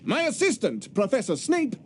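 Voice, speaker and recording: A man's voice: very high voice, wildly swooping pitch, moderate pace, a little mellow, slight background noise, full character voice. A man playing a flamboyant, self-important British wizard teacher in a video game, theatrical and pompous as he instructs. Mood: showy, self-satisfied.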